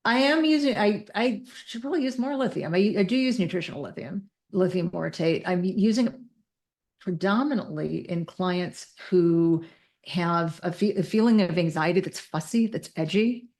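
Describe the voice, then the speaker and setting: A woman's voice: fairly low pitch, moderate pace, a little mellow. A woman speaking as a clinician guest on a podcast, direct and emphatic, correcting herself as she talks through her practice. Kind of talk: conversational clinical discussion.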